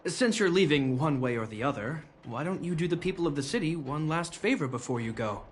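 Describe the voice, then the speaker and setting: A man's voice: on the high side, expressive, a little fast, a little hiss or hum, natural voice. A man voicing an earnest, dutiful younger brother and guard officer in a video game, speaking in a formal, restrained way. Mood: earnest, hesitant. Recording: music under the voice.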